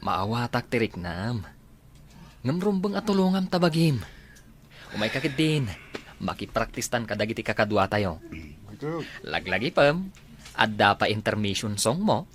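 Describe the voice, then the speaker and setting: An actor with an Ilocano accent playing an encouraging young student urging a friend on in a radio drama. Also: male voice, lowish voice, big pitch swings, measured pace, long pauses, a bit bright, a little hiss or hum. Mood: sympathetic, urging.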